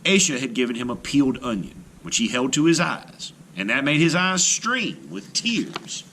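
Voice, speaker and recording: Male storyteller for children's read-aloud: bright, mid-pitched, expressive intonation, faint noise behind. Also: moderate pace. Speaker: storyteller, art teacher.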